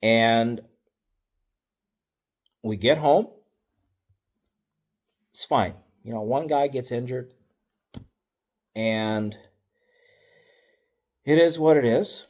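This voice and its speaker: A middle-aged man, a football coach, telling stories from past seasons in a relaxed, wry, matter-of-fact way, with fillers and self-corrections. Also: medium pitch, very slow, pauses often. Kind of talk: casual storytelling.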